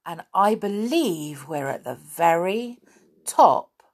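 A woman voicing an eager, mischievous young boy in a storybook, calling out excitedly. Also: on the low side, slow pace, full character voice. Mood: amazed. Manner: excited, exclaiming.

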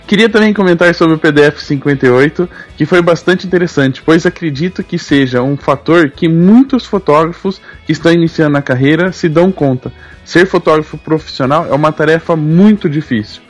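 A man's voice: fairly high pitch, normal speed, faint music behind. A man hosting a talk show, teasing his co-host and reading out listener mail. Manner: joking, teasing banter. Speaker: podcast host.

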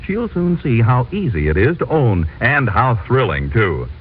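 A man's voice: medium pitch, sing-song, unhurried, a little hiss or hum. A man announcing and reading advertising copy in the style of 1940s radio. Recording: tinny 1940s radio recording.

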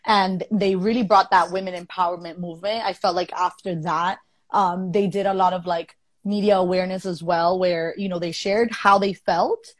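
A woman's voice: fairly low pitch, fairly even intonation, normal speed, no room sound. A young woman from Colombia, speaking earnestly and thoughtfully in casual conversation, with many fillers. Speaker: guest.

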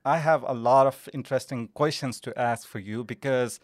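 A man hosting a podcast interview, introducing his guest in a hesitant way with many pauses. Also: medium pitch, no room sound, studio quality. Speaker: podcast host.

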